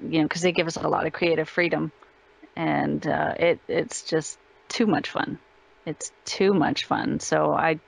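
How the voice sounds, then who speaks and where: A woman's voice: low voice, vocal fry. A woman giving a casual interview as a guest, cheerful and informal in manner. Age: adult parent of a young child.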